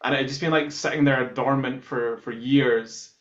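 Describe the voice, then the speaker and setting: A man's voice: medium pitch, fairly even intonation, moderate pace, a bit bright. A man from Scotland, a musician in a band, casually recounting things in an interview. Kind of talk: casual chat, telling how a song was written. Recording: echoey room, slightly dull sound.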